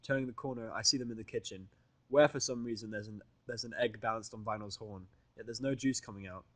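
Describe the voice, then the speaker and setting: A man's voice: fairly low pitch, quick pace, a bit bright, dry recording. Sardonic man narrating, dry close sound. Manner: conversational, sardonic, sweary. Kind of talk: amateur fan fiction audio reading, first person.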